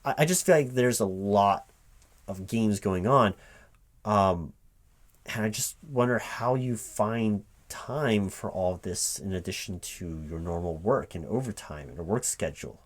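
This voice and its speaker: A man playing a polite, flustered hiring manager in an improvised interview roleplay, speaking aloud in a halting, rambling, stammering way. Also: lowish voice. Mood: baffled, curious. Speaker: recruiting manager in a one-sided roleplay.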